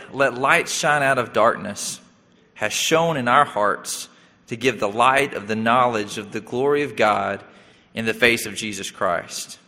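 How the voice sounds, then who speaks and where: A man in his thirties, a young adult pastor, preaching a sermon in a halting way, full of fillers and restarts. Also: medium pitch, sharp tone, long reverb tail. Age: 30s.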